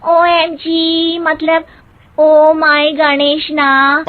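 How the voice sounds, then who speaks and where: Cartoon village daughter-in-law, acted by a woman: very high, slow delivery, innocent and cheerfully literal. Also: completely flat delivery, no room sound, phone-quality sound. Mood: guileless, cheerful. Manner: literal-minded, guileless, rustic phrasing. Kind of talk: Hindi comic cartoon story dialogue.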